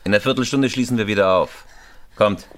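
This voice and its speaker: An actor playing a calm, commanding escaped robber in a German radio crime drama, politely threatening. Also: male voice, lowish voice, fairly quick, natural voice. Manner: controlled, polite but threatening.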